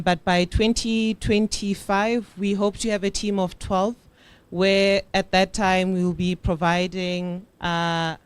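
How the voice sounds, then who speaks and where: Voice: medium pitch, smooth. A young entrepreneur from Botswana presents their business at a conference, hesitating often with fillers. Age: young adult.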